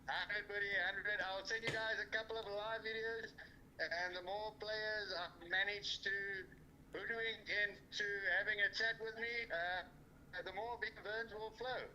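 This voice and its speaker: A man's voice: high voice, steady tone, a little slow, sharp tone, muffled recording, slight background noise. A man calling in as a field reporter, friendly and laid-back. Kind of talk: casual call-in report.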